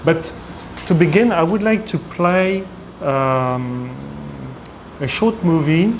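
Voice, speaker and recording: A man's voice: fairly high pitch, slow pace. A man giving a guest lecture, hesitant and full of fillers and restarts, in a room with air conditioning hum. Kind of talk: academic lecture.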